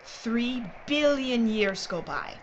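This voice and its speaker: Woman playing brisk German corporate mining boss for audio drama; formal, condescending explainer, animated intonation. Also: on the high side, muffled recording, a little hiss or hum. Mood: lecturing. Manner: precise, formal, lecturing. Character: brisk, haughty German mining company woman, secretive and impatient.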